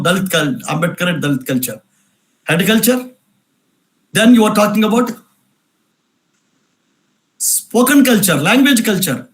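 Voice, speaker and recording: High-pitched insistent man. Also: a bit bright. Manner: repetitive, insistent, rhetorical questions. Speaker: speaker at a cultural event. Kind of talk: emphatic lecture answering questions.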